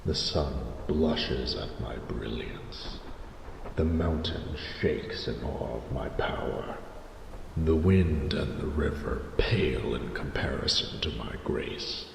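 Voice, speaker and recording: A man's voice: a bass voice, takes it slowly, full character voice. A man voicing an ancient, proud and flirty male dragon with pompous, archaic, formal speech in a romantic audio roleplay. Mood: haughty, boastful. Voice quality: gravelly. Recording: roomy cavernous reverb with noticeable noise.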